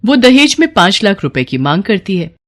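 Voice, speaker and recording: A woman's voice: mid-range voice, very animated, moderate pace. Woman narrating a moral story.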